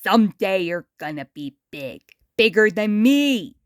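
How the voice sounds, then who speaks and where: Woman doing a gruff, low-voiced cynical showbiz mudskipper. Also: big pitch swings, unhurried, no room sound, clean studio sound. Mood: dismissive, cocky. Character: gruff, cynical old showbiz mudskipper, male, jaded mentor. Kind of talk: character voice in storybook reading.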